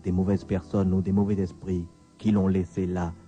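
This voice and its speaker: A man narrating a radio story in a dramatic storytelling style, with measured, deliberate phrasing and pauses. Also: sits low, flat delivery, dark tone. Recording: dull lo-fi radio recording. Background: clear music bed.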